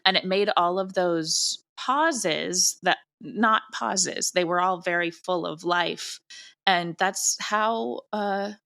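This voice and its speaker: Low-pitched, bright-edged woman chatting. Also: slow pace, vocal fry. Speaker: audio drama creator, interview guest. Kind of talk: casual, animated interview chat.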